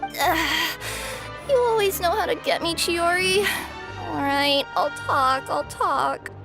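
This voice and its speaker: A woman's voice: extremely high pitch, takes it slowly, creaky, exaggerated voice. A woman voicing a cheerful but nervous young cat spirit courier in a video game, with a hesitant, sheepish way of talking. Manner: hesitant, sheepish, with many filler sounds. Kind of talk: game voice acting. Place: recording studio. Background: game music.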